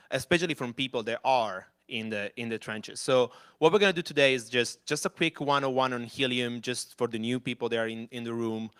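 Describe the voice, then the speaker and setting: A man's voice: mid-range voice, fairly bright tone, smooth. A man from Italy with an Italian accent, giving a loose, conversational conference talk at an event venue on a handheld mic, with frequent restarts and fillers. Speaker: company executive presenting.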